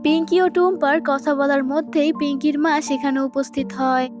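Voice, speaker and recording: A woman's voice: high voice, fairly even intonation, brisk. A woman reading scripted narration for a children's cartoon story. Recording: music behind the voice.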